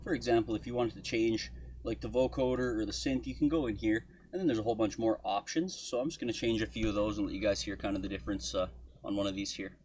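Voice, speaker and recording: A man's voice: fairly low pitch, steady tone, fast talker, noisy background. A man talking casually and informally to viewers as a music production tutorial host. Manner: informal, chummy address to viewers. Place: indoors at a computer desk.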